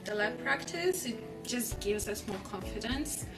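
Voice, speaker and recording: A woman's voice: medium pitch, animated, a little slow. A woman, a college student, speaking simply in a testimonial interview. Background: loud music.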